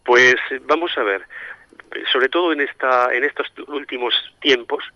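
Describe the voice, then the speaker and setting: A man's voice: mid-range voice, moderate pace, dry recording. A middle-aged man from Spain with a Spanish accent, a priest answering interview questions reflectively, often hesitating and repeating as he searches for words. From Spain, raised in Madrid, born in Santomera, Murcia. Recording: dull, lo-fi phone-like link.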